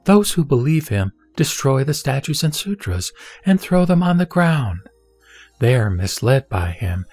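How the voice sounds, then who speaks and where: A man's voice: mid-range voice, darkish tone, no echo. Solemn man reading scripture over music. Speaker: sutra reader.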